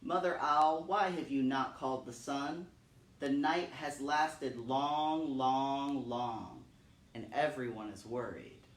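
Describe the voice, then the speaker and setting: A man's voice: medium pitch, slow, natural voice. A man plays a storybook lion king, grave and commanding. He speaks with solemn emphasis in a mid-range male voice, heard across a roomy space. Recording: roomy, echoing, distant microphone.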